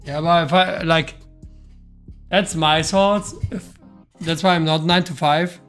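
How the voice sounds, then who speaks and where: A man's voice: a little high, steady tone, slow pace, fairly bright tone, faint music behind. A German-speaking man streaming a game, chatting loosely with a friend and swearing now and then. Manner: loose, swearing, restarts often. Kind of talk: casual gaming stream chat.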